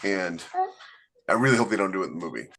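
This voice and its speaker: A man's voice: low voice, sing-song, quick pace, vocal fry, a bit bright, a little hiss or hum. A man co-hosting a podcast, an author talking candidly and reflectively about writing. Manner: candid, reflective, self-deprecating. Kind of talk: casual podcast discussion.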